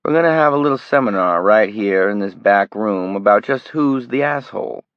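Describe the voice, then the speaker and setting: A man's voice: on the low side, animated, normal speed, dry recording, highs cut off, voice pushed up. A man playing a cruel, menacing middle-aged man who taunts and sneers. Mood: taunting menace.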